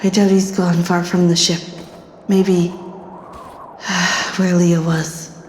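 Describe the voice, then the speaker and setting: An actress playing a lone frightened survivor steeling herself in a monologue from a sci-fi horror audio drama. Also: female voice, on the low side, little pitch movement, extremely slow. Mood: anxious, self-steadying. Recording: long echoing reverb, faint ambient noise.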